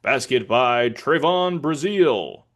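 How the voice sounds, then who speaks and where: A man's voice: medium pitch, expressive, slow, a bit bright. Man doing a formal American basketball arena announcer. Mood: emphatic. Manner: clipped formal calls, names drawn out. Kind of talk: public address sports announcing demonstration.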